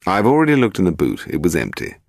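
A man's voice: low-pitched, wildly swooping pitch, fast, gravelly, no echo, full character voice. A man voicing a suave husband and amateur sleuth with a dry, teasing manner.

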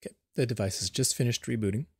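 A man narrating an unscripted step-by-step software tutorial in a plain, instructional manner, recorded as a screen voice-over. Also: mid-range voice, very fast, slightly rough, dry recording, clean studio sound.

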